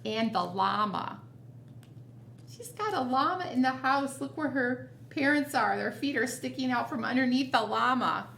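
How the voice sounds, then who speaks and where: A woman's voice: high voice, expressive, moderate pace, gravelly, fairly bright tone. A woman reading a picture book aloud to children as a storytime reader, expressively and with chatty asides. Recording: roomy echo, noticeable background noise.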